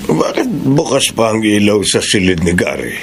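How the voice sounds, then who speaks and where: A Filipino actor playing a concerned, admiring husband in a radio drama, with a creaky, expressive voice. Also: male voice, on the low side, moderate pace, background noise.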